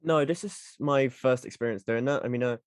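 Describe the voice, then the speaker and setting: A man's voice: mid-range voice, fairly quick, no room sound. Easygoing man chatting, dry close sound. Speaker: voice actor, podcast guest. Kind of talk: casual chat.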